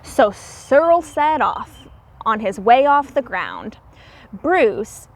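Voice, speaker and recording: A woman reading a story aloud to young children as a teacher, expressive and explaining things simply. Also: a little high.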